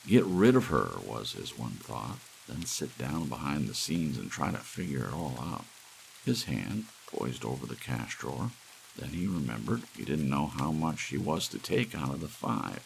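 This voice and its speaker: Very deep gravelly man narrating. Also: normal speed, low-quality recording. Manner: hushed ASMR storytelling with dramatic swells. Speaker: audiobook reader. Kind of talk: dramatic scripted audiobook narration. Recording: steady hiss of soft rain. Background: soft rain.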